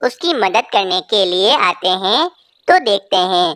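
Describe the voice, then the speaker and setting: A woman's voice: medium pitch, very animated, a little slow, fairly bright tone, no room sound, clean studio sound. A woman narrating a scripted children's story.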